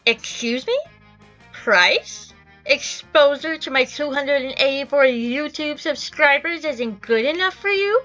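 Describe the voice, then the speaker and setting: A woman's voice: sits high, unhurried, pushed and bright, lo-fi sound, soft background music. A woman voicing a smug, entitled young male wannabe YouTuber with pompous, whiny boasting in an amateur game dub. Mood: indignant, boastful. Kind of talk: amateur visual novel dub.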